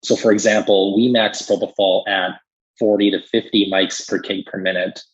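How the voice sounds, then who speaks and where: Man, pharmacist guest, husky, lowish pitch, conversational explaining, dull recording. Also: little pitch movement, moderate pace. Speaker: critical care pharmacist guest.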